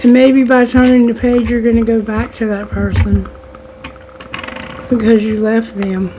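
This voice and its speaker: Slow, flat-toned woman with a strained voice. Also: a little high, slight background noise, poor audio. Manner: speaks straight to listeners as "the collective", with spiritual wording and prayer. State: losing her voice, strained. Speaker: tarot reader. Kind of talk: channel tarot reading for a general audience.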